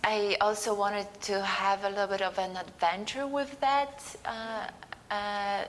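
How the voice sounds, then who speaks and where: A woman's voice: medium pitch, fairly even intonation, a little slow, a little hiss or hum, low-quality recording. A middle-aged woman from Poland, answering interview questions thoughtfully and searching for words. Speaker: guest artist. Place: museum gallery.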